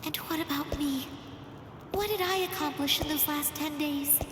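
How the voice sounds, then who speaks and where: A woman voicing a gentle, earnest teenage girl in an anime game, thoughtful and sincere. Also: sits high, moderate pace, noisy background, close to an everyday voice. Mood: wondering, reflective. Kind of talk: anime game cutscene dubbing. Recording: echoing reverb, music behind.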